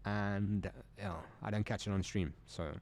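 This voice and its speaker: A young South African man hosting a casual live stream, joking, laughing a lot and talking in relaxed slang. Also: fairly low pitch, moderate pace. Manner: laid-back, joking, laughs often, slangy. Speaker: livestream trading host.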